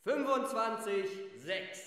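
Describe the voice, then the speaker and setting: A high-voiced, obsequious young man. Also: slow, a bit bright. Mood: dutiful. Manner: stilted, earnest, ornate. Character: eloquent, obsequious young man feigning frailty under questioning. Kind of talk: German radio play acting. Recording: echoey hall, long reverb.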